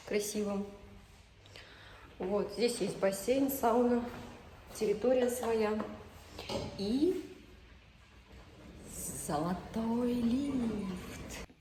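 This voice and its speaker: A woman in her thirties chatting casually to camera as a vlogger introducing herself, often pausing mid-sentence. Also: mid-range voice, warm tone. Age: 30s. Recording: clearly noisy amateur vlog sound.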